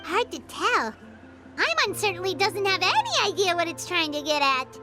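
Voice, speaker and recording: A woman voicing a tiny, chirpy, childlike fairy companion in a video game, squeaky and exclamatory. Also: big pitch swings, normal speed, over loud music. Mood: curious, skeptical. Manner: squeaky, exclamatory, refers to herself in third person.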